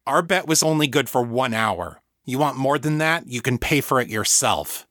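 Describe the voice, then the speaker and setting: A man's voice: mid-range voice, animated, moderate pace, bright, forceful tone, dry recording, clean studio sound. A man playing a reasonable, protective male friend, firm and pleading, in a narrated story. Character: reasonable young man, protective peacemaker facing violent friend.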